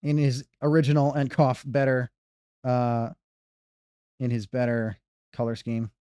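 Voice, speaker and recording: A man's voice: mid-range voice, flat delivery, unhurried, warm tone, clean tone, dry recording, clean studio sound. Rambling male speedrun streamer. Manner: rambling, self-correcting banter. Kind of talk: casual gameplay commentary.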